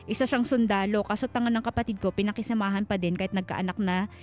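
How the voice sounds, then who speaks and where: A woman's voice: medium pitch, fairly even intonation, fast, a little mellow. A Filipino woman co-hosting a casual radio advice show, frank and chiding as she talks and reads listener comments. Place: radio studio. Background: background music.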